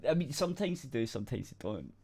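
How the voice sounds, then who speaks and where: A woman's voice: extremely low pitch, very animated, fast, dark tone, slightly rough. A woman co-hosting a podcast, talking casually in a laid-back, blunt way. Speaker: podcast co-host. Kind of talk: casual chat.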